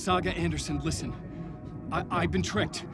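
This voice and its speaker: A man playing a desperate, intense writer in a video game, speaking with halting, earnest urgency. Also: a little high, steady tone, lightly acted. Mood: urgent. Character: desperate, intense male writer trapped in a nightmare. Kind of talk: cinematic video game acting. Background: dramatic music.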